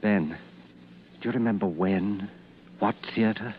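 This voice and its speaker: A man playing a grave, brooding detective inspector with formal, deliberate speech in a vintage radio drama. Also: fairly low pitch, a little hiss or hum. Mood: intent. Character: grave, self-doubting male detective inspector narrating his investigation. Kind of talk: 1940s radio drama acting. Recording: muffled old-time radio broadcast, music behind.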